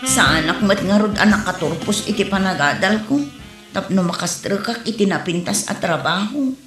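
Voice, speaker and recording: A woman's voice: medium pitch, animated, moderate pace, close to an everyday voice. A woman playing a plaintive, struggling abandoned mother in an Ilocano comedy audio drama. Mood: regretful. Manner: plaintive, reproachful. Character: Ninita, wronged, struggling young abandoned mother. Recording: roomy, music underneath.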